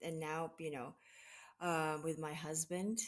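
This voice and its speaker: Woman, from the Philippines, low voice, hesitant, interview guest. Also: level tone, unhurried. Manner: hesitant, many restarts and fillers. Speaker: guest, mission associate regional director. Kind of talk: conversational interview.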